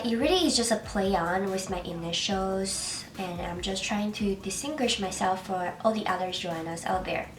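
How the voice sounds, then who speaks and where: A young woman in her twenties with a Chinese accent, narrating a makeup tutorial in a soft, chatty and slightly self-conscious way. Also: mid-range voice, slight background noise. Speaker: beauty vlogger. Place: small bright room.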